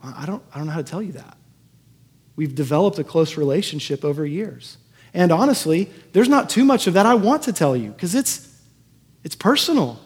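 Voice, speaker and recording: Guy pastor giving a conversational sermon, raspy kinda higher voice, earnest, repeats stuff for emphasis, long hall reverb. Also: big pitch swings, a little fast. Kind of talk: sermon, conversational preaching to a congregation.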